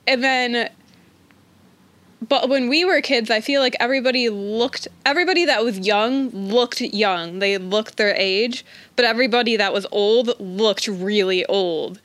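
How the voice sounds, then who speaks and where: A young woman in her twenties hosts a podcast, talking in a loose, rambling way full of fillers. Her voice sits a little high. The recording is dry and close, with no room sound. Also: a little slow, a bit bright, dry recording. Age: 20s. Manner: chatty, rambling with many "like"s. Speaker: podcast host. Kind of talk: casual chat.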